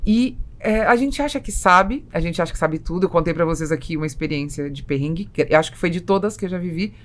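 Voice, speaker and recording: Lower-pitched Brazilian woman hosting a podcast. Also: quick pace. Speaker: podcast host interviewing guests. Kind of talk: conversational business podcast interview.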